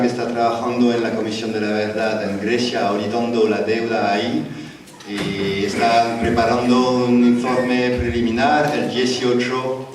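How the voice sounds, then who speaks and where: A man with a French accent speaking Spanish at a conference panel, hesitant, with many fillers and restarts. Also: lowish voice. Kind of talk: conference talk. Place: large conference hall. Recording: echoey hall, distant mic.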